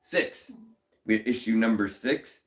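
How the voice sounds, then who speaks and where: A young man hosting a casual comic-book live stream, a little hoarse from a cold, greeting viewers by name in an upbeat way. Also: on the low side, measured pace. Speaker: host.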